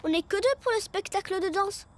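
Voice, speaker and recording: A woman voicing a small boy superhero in a cartoon, boastful one moment and sheepish the next, with quick exclamations. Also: very high-pitched, slight background noise. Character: small boy cosmic superhero, proud and bashful. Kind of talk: cartoon dubbing. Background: cartoon music.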